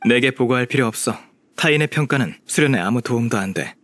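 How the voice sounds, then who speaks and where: A man's voice: mid-range voice, lively intonation, fast, fairly bright tone, a little hiss or hum, natural voice. A man voicing an aloof, stern immortal master in a video game, cool, terse and commanding.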